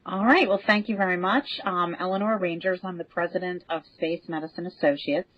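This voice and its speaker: A woman's voice: lowish voice, a little fast, no echo. A woman speaking as a podcast guest, explaining and recounting in a conversational way. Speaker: podcast guest, medical association president. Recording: dull, band-limited call audio.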